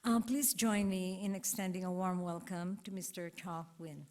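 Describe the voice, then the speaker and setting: A woman's voice: fairly low pitch, steady tone, normal speed, a little mellow, a little hiss or hum. A woman giving a formal introduction of a guest speaker at a conference, mostly reading from prepared notes. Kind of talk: formal scripted introduction with some ad-libs. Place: conference auditorium.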